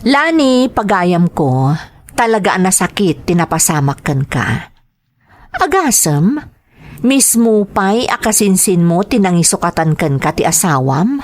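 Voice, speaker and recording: A woman's voice: on the low side, sing-song, unhurried. A woman playing a sympathetic friend in a radio drama, consoling and moralizing in an earnest way. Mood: sympathetic, disapproving.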